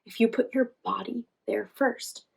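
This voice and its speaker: A woman hosting a children's read-aloud, reading expressively and pausing to ask encouraging questions. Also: on the high side, creaky, slightly rough. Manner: encouraging, asks questions and pauses for answers. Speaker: read-aloud storytime host, teacher.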